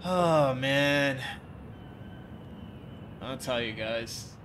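Young male streamer, congested, bright and forceful, chatty and joking with chat, home desk mic, faint hiss. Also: mid-range voice, drawn-out words. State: congested, stuffed-up nose. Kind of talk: casual live-stream chat with viewers. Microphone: desk mic, webcam framing.

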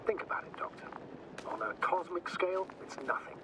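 Calm, steady space mission commander. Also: male voice, high-pitched, a little fast, background noise. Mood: calm, reassuring. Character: male mission commander astrogator, calm, probing, reassuring. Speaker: video game character. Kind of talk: naturalistic game voice acting. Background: game music.

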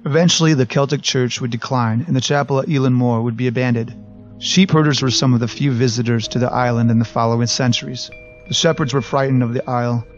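A man narrating a scripted documentary in a measured, even storytelling manner. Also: medium pitch. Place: voice-over recorded apart from the footage. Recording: slightly dull recording with faint music.

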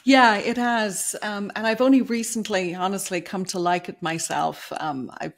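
A woman's voice: medium pitch, fairly even intonation, moderate pace. A woman in her fifties from Dublin with an Irish accent, a guest author speaking thoughtfully on a video call, with many fillers and easy laughs. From Dublin, Ireland. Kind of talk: conversational podcast interview. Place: home room, video call. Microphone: over-ear headphones, video call.

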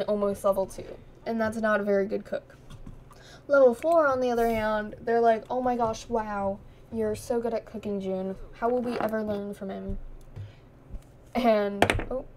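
A woman's voice: mid-range voice, darkish tone, slight background noise. A young woman who is losing her voice, giving casual, giggly commentary while playing a life-sim game and chatting to the characters. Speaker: gaming streamer. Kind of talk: casual Let's Play commentary.

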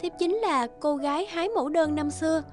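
A delicate young maiden of an animated fairy tale. Her voice is gentle and very high, over clearly heard music. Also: fairly quick. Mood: grateful, polite.

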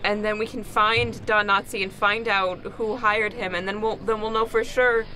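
A woman playing an earnest, insistent young prisoner who pleads haltingly in an improvised old-time radio play. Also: mid-range voice, fairly even intonation, sharp tone, lightly acted, poor audio. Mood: urgent, pleading.

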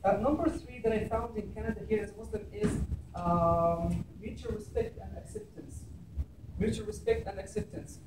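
A man's voice: high voice, moderate pace, background noise. A man in his thirties from Egypt with an Egyptian Arabic accent, giving a public talk in a classroom and explaining scripture in English. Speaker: speaker. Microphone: far camera. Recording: echoey room, from far off.

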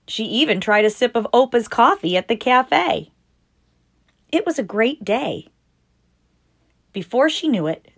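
A woman reading a picture book aloud as a storytime host, deliberate and expressive for young children. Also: fairly low pitch. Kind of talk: scripted picture-book narration read aloud.

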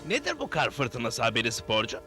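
A man's voice: medium pitch, wildly swooping pitch, moderate pace, pushed and bright, full character voice. An older man playing a wise, fatherly old Smurf leader in a dubbed children's cartoon. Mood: thoughtful. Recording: music behind the voice.

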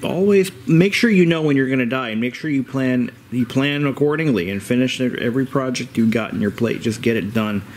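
A man narrating a vintage radio repair in a casual, rambling, halting and plain-spoken way, as a hobbyist vlogger. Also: medium pitch, darkish tone. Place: indoors in a home. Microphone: handheld camera, operator behind it. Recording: clear background room noise.

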